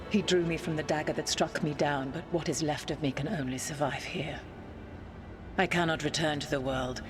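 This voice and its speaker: An actress playing a proud, embittered ancient goddess in a fantasy video game, speaking in a stern, formal, imperious way. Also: female voice, fairly low pitch, lightly acted. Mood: cold, bitter. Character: proud, embittered ancient goddess fragment, female, testing a mortal petitioner.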